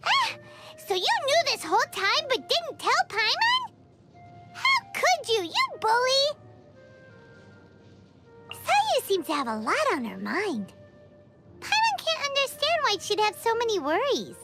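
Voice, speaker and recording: A tiny floating fairy girl from a video game. The voice is squeaky and extremely high, swinging wildly up and down as it chatters in a bubbly, dramatic, childlike way. The words come slowly and are drawn out, over clear background music. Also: wildly swooping pitch, full character voice. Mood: indignant, then pondering. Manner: speaks of self in third person. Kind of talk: video game character dialogue.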